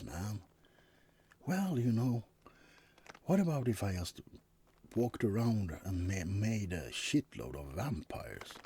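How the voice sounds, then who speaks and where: A man playing a friendly, centuries-old vampire in an ASMR roleplay, rambling and slangy, with a soft, breathy voice. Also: lowish voice, heavily acted. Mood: casual, persuasive. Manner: rambling, slangy, hesitant, chuckling asides. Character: friendly laid-back male vampire, centuries old, chatty roommate. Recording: faint background noise.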